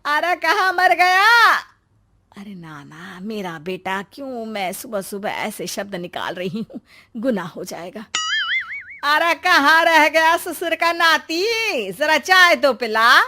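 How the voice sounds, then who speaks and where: A woman's voice: sits high, sing-song, slow pace, bright, forceful tone, voice pushed up, exaggerated voice. A woman voicing a scolding, sarcastic, domineering mother-in-law in a cartoon story, with a bossy, dramatic delivery. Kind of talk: cartoon moral-story acting.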